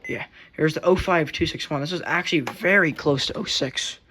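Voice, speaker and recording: Teen guy kinda high voice, rambling thru his radio collection, keeps correcting himself. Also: moderate pace, sharp tone, slight background noise. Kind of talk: casual show-and-tell.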